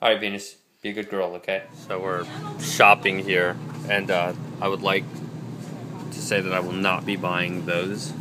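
A man's voice: sits low, little pitch movement, normal speed, noisy background. A young man filming a casual vlog with a handheld camera, coaching a frisbee throw and chatting to his dogs. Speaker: dog trainer vlogger. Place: gym, park and home, handheld camera. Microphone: handheld camera mic.

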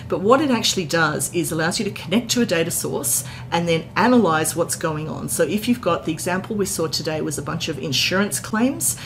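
A woman in her forties giving an enthusiastic, informal explainer recap to camera as a tech presenter, indoors. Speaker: tech content creator, presenter. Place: indoor hotel-style room. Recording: clear background noise with low rumble.

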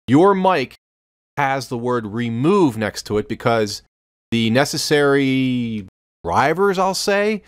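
A man in his fifties giving an informal, instructional software tutorial at a close microphone in a small room. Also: medium pitch, no room sound. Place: small home studio room, indoors.

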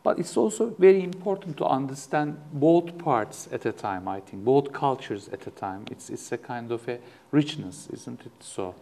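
A man's voice: mid-range voice, lively intonation, normal speed, few pauses, dark tone. A middle-aged man whose first language is Turkish, hosting an interview in English and asking questions hesitantly, with restarts, in a studio. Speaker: interview host, professor. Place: studio with backdrop.

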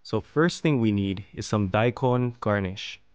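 A man narrating a fish-cutting and plating tutorial as host, explaining each step calmly. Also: on the low side, unhurried, smooth, no room sound, dull audio. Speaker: fishing and cooking channel host. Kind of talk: calm instructional voice-over.

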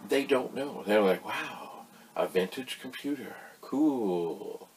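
An older man talking casually to camera as a video host, explaining in a folksy, rambling way with emphatic pauses. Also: mid-range voice, animated. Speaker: vintage computer video host. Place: small cluttered indoor computer room.

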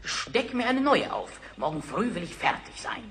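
A man playing a sly, gleeful little dwarf with a squeaky, cackling, chanting delivery in an old fairy-tale radio play. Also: huge pitch swings, normal speed, pushed and bright, exaggerated voice. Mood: excited chanting. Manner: chanting, swooping, teasing. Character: sly, gleeful little male dwarf imp, wicked bargainer. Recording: noisy old record.

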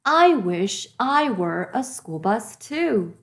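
A woman's voice: on the high side, very animated, takes it slowly, exaggerated voice. A woman voicing an eager, kind, plucky little boy truck in a children's storybook, with encouraging exclamations. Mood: excited, wistful.

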